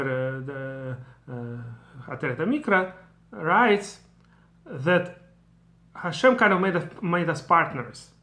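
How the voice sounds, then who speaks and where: Man teaching, bright voice. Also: on the high side. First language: Russian. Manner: digressive, thinking aloud with asides. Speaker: Torah teacher. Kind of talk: explanatory lecture.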